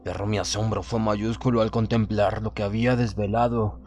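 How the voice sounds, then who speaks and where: A man narrating a horror story in the first person, in a measured, formal, dramatic manner. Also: lowish voice, flat delivery, a little mellow. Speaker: audiobook narrator. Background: music.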